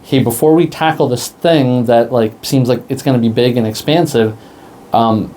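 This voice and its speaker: A man explaining things casually as an interview guest, in a halting, rambling way. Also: mid-range voice, dark tone, a little hiss or hum. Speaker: interview guest, podcast creator. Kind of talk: casual conversation.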